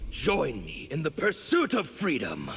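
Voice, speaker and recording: A man's voice: a little high, wildly swooping pitch, a little slow, vocal fry, a bit bright, slight background noise, exaggerated voice. An actor playing a defiant revolutionary in a video game, declaiming his cause with passion. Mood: fervent. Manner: impassioned, declamatory. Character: fervent male revolutionary rebel, defiant agitator. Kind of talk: video game fight-intro dialogue.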